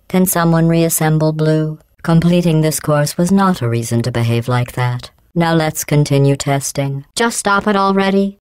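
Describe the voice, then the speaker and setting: An actress voicing a cold, passive-aggressive female AI supervisor in a video game, in a deadpan, sarcastic, mock-polite manner through a robotic voice filter. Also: sits low, clear, resonant tone, dry recording. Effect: robotic voice processing.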